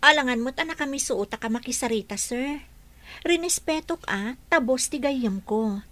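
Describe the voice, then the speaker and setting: Brief: actress as a polite, defensive staffer, bright high voice, dry sound. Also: female voice, lively intonation, normal speed, no echo. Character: young female employee, defensive and proper. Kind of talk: radio drama acting.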